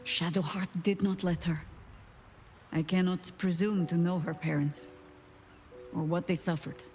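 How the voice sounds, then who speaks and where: A woman's voice: fairly low pitch, steady tone, measured pace, a little mellow, faint music behind, lightly acted. An actress playing a grave, pious woman warrior in a video game, speaking in a stately and formal manner. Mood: solemn. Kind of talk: video game dialogue acting.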